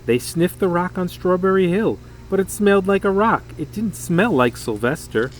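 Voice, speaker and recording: A man reading a children's story aloud in an expressive, dramatic, emphatic way. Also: high voice, normal speed, a little hiss or hum, poor audio. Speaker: storybook reader.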